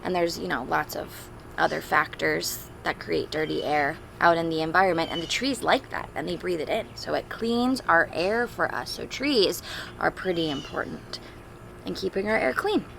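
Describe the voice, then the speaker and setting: Female storybook reader for young children, expressive and chatty, creaky mid-range voice, clear background noise. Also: lowish voice, moderate pace. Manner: expressive, simple explanations and questions for young children. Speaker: teacher reading a picture book aloud to children. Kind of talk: storybook read-aloud with chatty asides to children.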